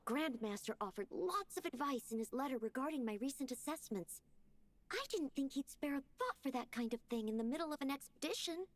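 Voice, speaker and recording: A woman's voice: high voice, lively intonation, a little fast. An actress voicing a shy, eager young female maid-knight in a video game, speaking politely and hesitantly. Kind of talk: game voice acting.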